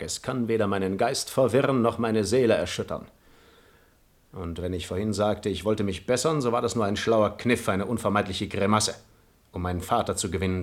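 Low-voiced, cynical aristocratic libertine. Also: male voice. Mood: cool, cynical. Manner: theatrical, eloquent, measured stage diction. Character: cynical aristocratic libertine, smooth proud hypocrite. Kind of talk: 1950s German radio play acting.

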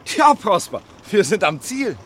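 Lively jovial young army doctor. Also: male voice, sits high, a little slow. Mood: brisk, friendly. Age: young adult. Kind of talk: German radio play acting. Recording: noisy crowd behind the voice. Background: busy tavern crowd.

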